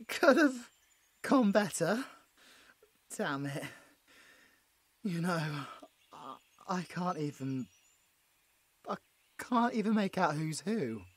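A woman playing an anguished, guilt-ridden young British male adventurer in an audio roleplay, halting and emotional. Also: fairly low pitch, sing-song, slightly rough, no room sound, heavily acted. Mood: shaken, bitter. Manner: halting, emotional, breaking into bitter laughs.

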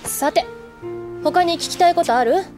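A woman playing a cool, gentle older schoolgirl in an anime audio drama, guarded and wistful, speaking in an unhurried, understated way. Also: very high-pitched, faint music behind.

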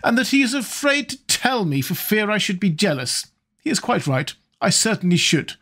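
A man's voice: high voice, big pitch swings, normal speed, fairly bright tone, voice pushed up, exaggerated voice. A talkative elderly hostess with a raised, fussy voice and a sing-song lilt, full of exclamations, as a male reader puts her on. She scolds and teases her guests in a storybook dinner scene. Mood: teasing. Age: older.

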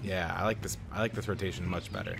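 A man giving casual, excitable let's-play commentary while he drives in a game, thinking aloud. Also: sits low, fast, gravelly, noisy background. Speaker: gaming YouTuber. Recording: game music behind.

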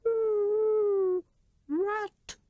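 A woman voicing a stern wise old male owl in a storybook, with drawn-out, hooting, disapproving words. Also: extremely high pitch, level tone, no echo, phone-quality sound, voice pushed up, exaggerated voice. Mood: gruff, haughty.

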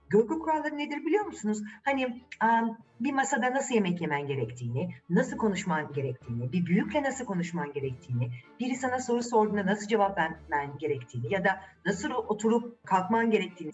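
Very animated woman telling children's tales. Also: medium pitch, far from the mic, muffled recording. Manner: addresses children directly with rhetorical questions. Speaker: children's storyteller. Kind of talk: animated, conversational fairy-tale storytelling.

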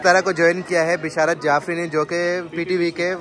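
A man's voice: a little high, little pitch movement, a little fast, music in the background. Chatty man narrating a vlog over crowd noise. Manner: addresses viewers as guys, chatty. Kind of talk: casual vlog commentary to viewers.

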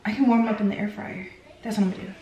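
A woman's voice: mid-range voice, racing, muffled recording, soft background music. Chatty young American woman in echoey kitchen. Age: young adult. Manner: chatty, animated storytelling with rambling asides. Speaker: lifestyle vlogger. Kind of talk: casual chat vlog. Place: home kitchen, indoors. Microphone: camera on the counter, about arm's length. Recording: echoey room, some background noise.

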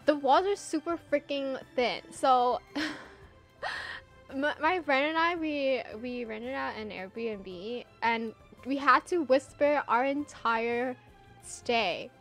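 High-voiced chatty young woman streamer. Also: creaky. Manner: chatty and animated, laughs often, trails off into filler. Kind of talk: casual gameplay chat with chat readers.

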